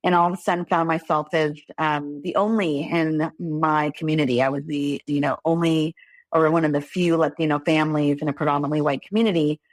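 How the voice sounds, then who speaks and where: Low-pitched middle-aged American woman. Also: steady tone, fairly quick, no echo, low-quality recording. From Los Angeles, California. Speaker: podcast guest, author and lawyer. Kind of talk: conversational interview, personal storytelling.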